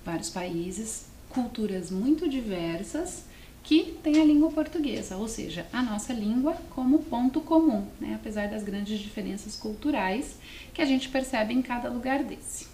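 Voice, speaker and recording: A woman's voice: fairly high pitch, expressive, moderate pace, dark tone. A woman with a southern Brazilian accent teaching a class to students, explaining step by step and often asking questions. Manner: explanatory, often asks questions and adds checking tags. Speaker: language teacher presenting a televised class. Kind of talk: didactic lesson addressed to students. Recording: roomy echo, faint background noise.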